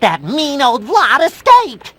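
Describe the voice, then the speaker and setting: An actor voicing a naive, excitable male cartoon sea sponge with a goofy, childlike manner. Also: very high-pitched, takes it slowly, no echo, exaggerated voice. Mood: earnest. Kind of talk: cartoon video game acting.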